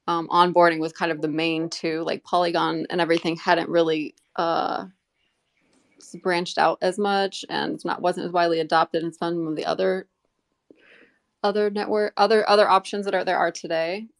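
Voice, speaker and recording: An American woman chatting casually as an interview guest, rambling and halting as she rephrases mid-sentence. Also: fairly low pitch, little pitch movement, creaky. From United States. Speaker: interview guest, community member. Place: live online audio group chat.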